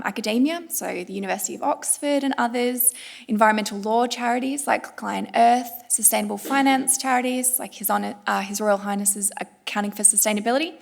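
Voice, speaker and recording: A woman with an Australian accent speaks as a conference panelist. Her voice sits at a middling pitch with noticeable creak. She explains her points in a formal talk, pausing often between phrases. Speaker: panel speaker, director of a climate law non-profit.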